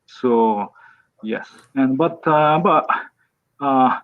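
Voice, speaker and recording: A priest in his fifties from Japan, speaking English with a Japanese accent. He talks slowly, with many pauses and restarts. The sound is thin and tinny, like a video call. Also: mid-range voice. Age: 50s. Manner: hesitant, many fillers and restarts. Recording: tinny phone-like call audio.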